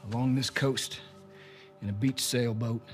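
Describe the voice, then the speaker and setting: An actor playing a bitter, middle-aged man bent on revenge, speaking in a halting Southern US drawl in a video game cutscene. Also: medium pitch, fairly even intonation, faint music behind, natural voice. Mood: intent, grave. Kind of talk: naturalistic dramatic game cutscene acting.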